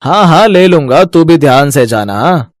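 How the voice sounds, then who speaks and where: Ailing husband, acted by a man, slow, gentle, caring, dry close recording, storybook drama. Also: on the high side, animated, dry recording, voice pushed down. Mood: caring, tired.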